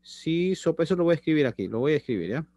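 Middle aged teacher on a webcam call, kinda high voice, dry sound, keeps asking "right?". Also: male voice, very animated, fast talker, dark tone, no room sound. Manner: chatty, repeats phrases, checks in with students. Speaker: school chemistry teacher. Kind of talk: online class lecture. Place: small room indoors. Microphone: laptop webcam on video call.

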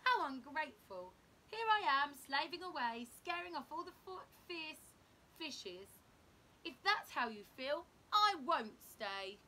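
A proud purple sea anemone from a picture book played by a woman, pitched high. It is persuasive and quick to take offence, with expressive rises and falls. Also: a little hiss or hum, exaggerated voice, low-quality recording. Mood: indignant.

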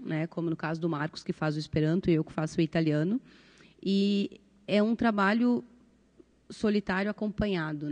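A middle-aged woman with a southern Brazilian accent speaks in a soft-edged, mellow voice. She talks conversationally, as on an informal panel, and keeps dropping in little tag questions. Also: lowish voice. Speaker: volunteer translator, panelist. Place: conference panel stage, indoors. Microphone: handheld microphone.

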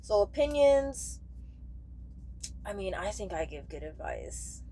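A young woman talking casually and candidly to camera in a halting way, searching for words as she goes. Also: mid-range voice, sing-song, mellow, slight background noise, low-quality recording. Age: young adult. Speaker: truck driver vlogger. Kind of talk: casual vlog talk to camera. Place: small padded truck sleeper bunk, indoors.

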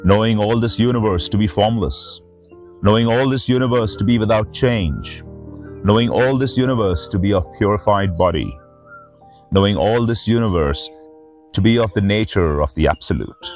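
A middle-aged man reading and commenting on scripture as a spiritual teacher, in a measured, reverent manner. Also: lowish voice. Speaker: spiritual teacher and channel host. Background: background music.

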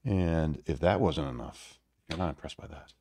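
Really deep gravelly guy, off the cuff tech demo, kinda halting, pokes fun at himself. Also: a little mellow, no room sound, clean studio sound. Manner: halting, self-deprecating. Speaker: electronics hobbyist presenter. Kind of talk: casual unscripted project demo. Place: indoors at a home workbench.

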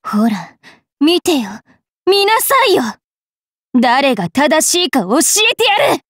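A woman voicing a bratty, cruel young fairy witch in an anime game, haughty and taunting with a rough tongue. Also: high voice, sing-song, slow pace, a bit bright, dry recording, clean studio sound, heavily acted. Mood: gleeful menace. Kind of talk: Japanese anime game character voice lines.

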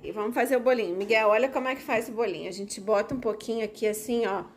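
Patient Brazilian mom walking kids through a recipe. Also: female voice, a little high, quick pace, a little hiss or hum. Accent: Brazilian Portuguese. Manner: patient, coaxing, explains step by step, teasing. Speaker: mother guiding her kids through a recipe. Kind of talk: casual family cooking video. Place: home kitchen, indoors.

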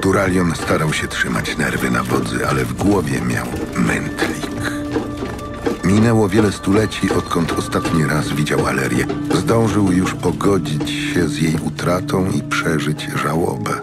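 Male narrator for fantasy audio drama, very low-pitched, music underneath. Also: animated, unhurried.

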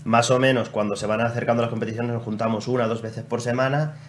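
A man's voice: medium pitch, little pitch movement, fast talker. A man in his forties from Spain with a Spanish accent, a guest athlete explaining things patiently with some hesitant restarts, speaking into a desk microphone in a studio. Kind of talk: casual interview answers. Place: small TV studio. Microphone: desk microphone on stand. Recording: faint background noise.

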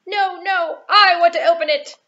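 A woman voicing cruel, bossy, gloating stepsisters in a puppet show for children, loud and demanding. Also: extremely high pitch, steady tone, normal speed, muffled recording, pitched above the performer's own voice, heavily acted. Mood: grabby, demanding.

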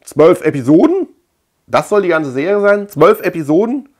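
A man's voice: sits high, big pitch swings, moderate pace, darkish tone. A man chatting casually as a video host, rambling colloquially and repeating words for emphasis. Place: small room at home lined with shelves.

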